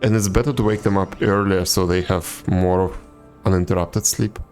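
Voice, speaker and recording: A man's voice: low-pitched, fairly even intonation, normal speed, darkish tone. A man chatting casually with viewers while livestreaming a game, laid-back and teasing. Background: game music.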